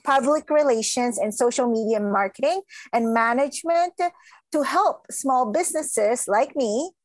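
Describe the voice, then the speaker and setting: A woman's voice: fairly high pitch, unhurried, no echo. A woman hosting a live podcast interview, bubbly and emphatic with lots of fillers. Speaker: podcast host.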